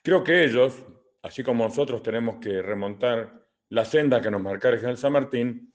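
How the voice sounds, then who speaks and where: An older man with an Argentine accent answering questions as a political commentator, in a deliberate, didactic way with emphatic repetition. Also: mid-range voice, highs cut off. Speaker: retired colonel and political commentator.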